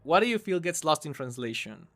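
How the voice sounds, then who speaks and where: A man's voice: on the high side, very animated, brisk, a bit bright, faint music behind. A man interviewing as a podcast producer, speaking in a thoughtful, conversational way.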